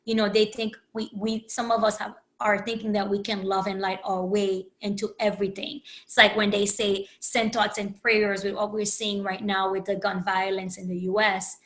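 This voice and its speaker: A woman's voice: mid-range voice, little pitch movement, normal speed, few pauses. A woman from Haiti, a podcast host and coach, reflecting candidly in a rambling solo talk with many restarts. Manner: candid, rambling, with frequent restarts and "you know".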